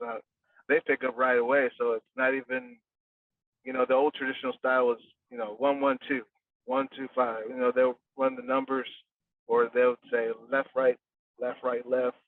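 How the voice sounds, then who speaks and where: A quiet man who speaks softly and haltingly, pausing often on fillers as he explains his coaching. His voice comes over a thin, phone-like line from a distance and sounds dry. It is a casual podcast interview. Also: medium pitch, no room sound. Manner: quiet, soft-spoken, halting with many fillers. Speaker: boxing coach, guest. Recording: tinny phone-like line from far off.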